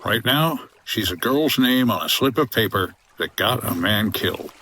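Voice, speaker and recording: Casting: wry hard-boiled 1950s skipper, deepish rough-edged voice, terse delivery for comic radio noir. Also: male voice, lively intonation, moderate pace, bright, forceful tone, full character voice. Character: gruff, wry 1950s hotel owner and boat skipper.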